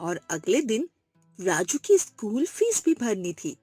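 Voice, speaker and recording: Female story narrator, highish voice, very expressive, scripted children's tale, soft music behind. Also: slow, breathy edge, no echo.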